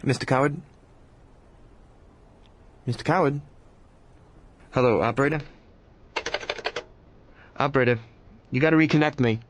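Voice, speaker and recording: A man's voice: medium pitch, a little slow, pauses often, a little hiss or hum, natural voice. An actor playing an urgent man on a call in a radio drama. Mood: insistent.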